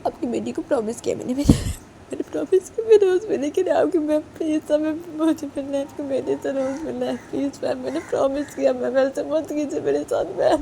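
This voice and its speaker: A woman's voice: sits high, brisk, gravelly, mellow, pitched above the performer's own voice. A desperate young woman played by a woman begs through tears in a raised, shaky voice. This storybook telling carries a faint hiss of noise behind it. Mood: tearful pleading. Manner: pleading, tearful.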